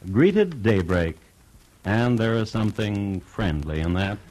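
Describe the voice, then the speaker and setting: An actor playing a wry, literary crime storyteller, narrating a vintage radio drama in deliberate, clipped phrases. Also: male voice, on the low side, animated, darkish tone, low-quality recording. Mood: wry, measured. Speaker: narrator and host. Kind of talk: 1950s radio scripted narration.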